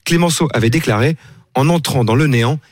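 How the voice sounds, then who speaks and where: A male history columnist on morning radio, telling old anecdotes in an easy storytelling manner with wry asides. His voice is mid-pitched and recorded very dry and close. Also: fairly quick, darkish tone, no echo.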